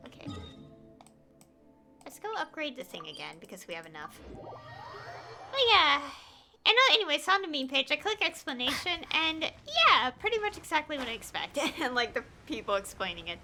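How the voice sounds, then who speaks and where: A young woman with a high, very animated voice chats casually while streaming a game. She rambles and thinks aloud in hesitant bits, with game music clearly behind her. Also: moderate pace. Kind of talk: casual live gameplay chat.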